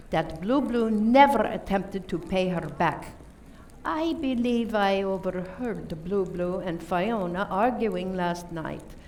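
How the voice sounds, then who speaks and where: A woman playing a coy, gossipy murder suspect in an amateur radio play, measured and insinuating as she deflects blame. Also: medium pitch, darkish tone, recorded from a distance, a little hiss or hum, close to an everyday voice.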